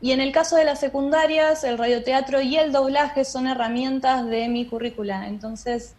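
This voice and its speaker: A woman's voice: high-pitched, fairly even intonation, normal speed, a little mellow. A woman with an Argentine accent explaining things as a guest in an interview. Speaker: interview guest, theatre director and drama teacher.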